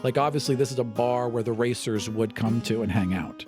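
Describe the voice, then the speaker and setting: A man's voice: mid-range voice, fairly quick, over music. A man narrating a tabletop fantasy game as game master, in a conversational, vivid descriptive style.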